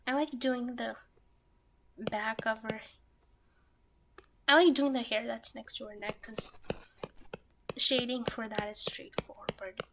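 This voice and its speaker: A young woman streaming herself while drawing, talking softly and half-whispering, a little nervous, with pen scratching heard behind her. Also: on the high side, steady tone, slow pace, long pauses. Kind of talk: casual live-stream chat while drawing. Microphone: desk microphone that picks up pen scratching. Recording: noisy home stream recording.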